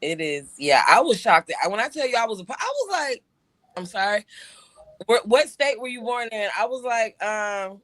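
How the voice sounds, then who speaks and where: Man, casual storytelling, restarts and fillers, crisp bright tone. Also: high-pitched, sing-song, moderate pace, dry recording. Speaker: show co-host. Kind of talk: casual podcast chat.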